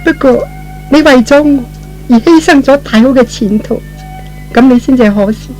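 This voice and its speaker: Man voicing a high-pitched tender young woman. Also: moderate pace, a little mellow, soft background music, low-quality recording. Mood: tender, coy. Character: tender, self-reproaching young woman in love. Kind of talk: old Cantonese radio melodrama acting.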